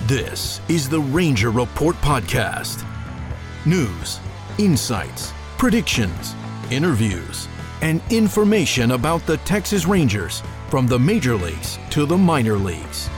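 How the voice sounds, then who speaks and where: A man announcing a show intro in a drawn-out, emphatic scripted way. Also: mid-range voice, very animated, over music.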